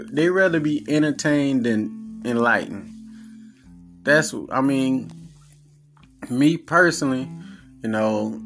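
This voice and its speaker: A man's voice: mid-range voice, a bit bright. A man in his forties with a Southern accent, a podcast host talking in a blunt, profane, earnest monologue. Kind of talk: casual monologue.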